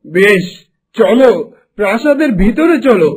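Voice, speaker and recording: A man's voice: sits high, big pitch swings, unhurried, slightly rough, heavily acted. A man playing a dramatic, lovestruck merman prince in a Bengali fairy-tale cartoon, with a villainous streak. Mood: lovestruck, eager. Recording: music behind the voice.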